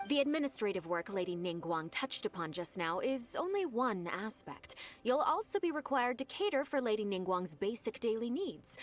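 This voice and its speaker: An actress playing a dutiful, efficient young secretary in a video game, speaking politely and instructively. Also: female voice, medium pitch, close to an everyday voice. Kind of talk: scripted video game dialogue. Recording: music under the voice.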